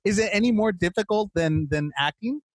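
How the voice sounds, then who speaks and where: A man hosting a podcast interview in a casual, joking, rambling and excitable way. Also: sits high, a little fast, dry recording. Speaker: podcast host.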